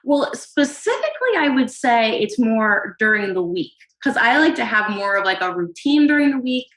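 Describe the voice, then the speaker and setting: A woman's voice: on the high side, sing-song, normal speed, a bit bright, echoey room, rough recording. A woman in her twenties calling in to a podcast, explaining her side of a conflict with her boyfriend hesitantly and with many fillers. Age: 20s. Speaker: caller. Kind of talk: casual conversation.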